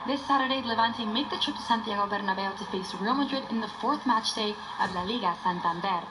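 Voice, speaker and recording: A woman's voice: mid-range voice, fast. A woman reading a scripted sports preview as a news reporter. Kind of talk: scripted match preview narration. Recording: clear background noise behind voice.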